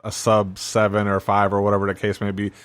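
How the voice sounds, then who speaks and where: A man with a fairly low voice hosts a self-help podcast in a relaxed, conversational monologue. He keeps checking in with his listeners as he talks. The sound is dry and close, with no room around it. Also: a little fast, no room sound. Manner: laid-back, conversational, frequent "right?" asides. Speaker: podcast host.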